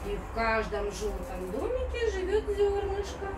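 Very high-pitched woman teaching toddlers in sing-song. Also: wildly swooping pitch, noisy background. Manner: sing-song, drawn-out child-directed speech. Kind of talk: playful instruction to small children, with rhymes. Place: indoor children's playroom. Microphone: distant camera. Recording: echoey room, distant microphone, music behind.

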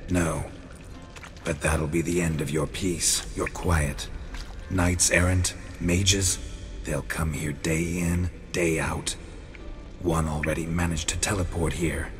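A man playing a weary, stoic monster hunter in a video game, gruff, blunt and laconic. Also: fairly even intonation, takes it slowly, fairly bright tone, soft background music, heavily acted. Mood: grim, persuasive. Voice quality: low, gruff. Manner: restrained, laconic. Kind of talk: dramatic video game acting.

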